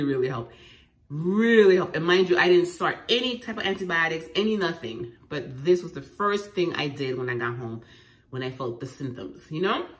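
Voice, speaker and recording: Congested woman, low voice, echoey room. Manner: animated and emphatic, repeating phrases. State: congested from a cold. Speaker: lifestyle vlogger. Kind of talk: casual home vlog tips. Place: at home indoors. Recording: echoey room, faint noise.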